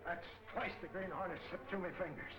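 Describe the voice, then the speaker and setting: An actor playing an excitable tough man hunting a masked vigilante in a 1940s film serial, with colorful exclamatory talk. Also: high-pitched, a little fast, lightly acted, rough recording. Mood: exasperated. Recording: old film soundtrack with loud music.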